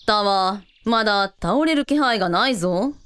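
A woman's voice: medium pitch, expressive, normal speed, fairly bright tone, close to an everyday voice. A woman playing a brash, casual older sister in a radio drama, with blunt, tomboyish phrasing. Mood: watchful. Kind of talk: Japanese radio drama acting. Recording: long reverb, music underneath.

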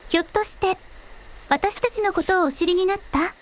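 A woman as a very high, gentle shrine maiden. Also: normal speed. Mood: modest. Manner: soft-spoken, humble, polite. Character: gentle, modest young shrine maiden, caring and shy. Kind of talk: Japanese audio drama dialogue.

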